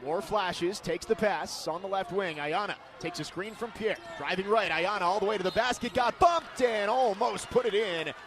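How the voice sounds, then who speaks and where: Man, high-pitched voice, sports broadcaster, play-by-play commentary, crisp punchy phrasing. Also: normal speed, few pauses, fairly bright tone, a little hiss or hum.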